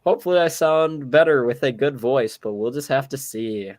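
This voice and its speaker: A man's voice: medium pitch, moderate pace, a little mellow. A young man hosting a casual podcast chat, sounding sick and worn, steering the talk in an easygoing way. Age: young adult. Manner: easygoing, runs the segment. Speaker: podcast host.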